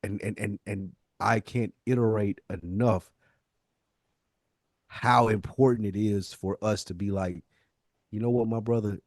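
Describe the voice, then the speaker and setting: This is a male podcast host with a slightly deep voice in a very dry recording. He talks casually and earnestly, leaning on his points with slangy emphasis. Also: fairly even intonation, measured pace, pauses often, a little mellow, dry recording.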